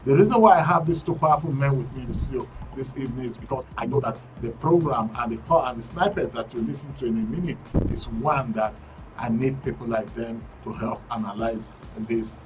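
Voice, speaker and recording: A man's voice: fairly high pitch, fairly bright tone, far from the mic. A man hosting a current affairs call-in show in a broadcast studio. He speaks emphatically and draws out the channel names and handles. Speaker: radio and TV show host.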